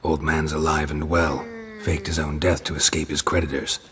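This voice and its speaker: Very deep, gruff monster hunter. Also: male voice, moderate pace, long reverb tail, crowd noise. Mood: blunt. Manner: terse, clipped, deadpan. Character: gruff, stoic, blunt male monster hunter. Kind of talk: video game voice acting.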